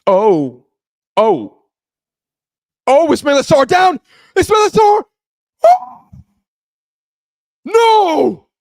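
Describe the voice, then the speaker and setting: A man hosting a live football watch-along, reacting excitedly to every chance and shouting at near misses, his voice going hoarse. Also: very high voice, very slow, pauses often, no room sound, studio quality. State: hoarse, losing voice.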